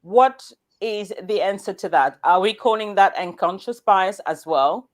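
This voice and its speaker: A woman with a medium-pitched voice moderates a panel discussion. She builds her questions deliberately, one phrase at a time. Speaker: host.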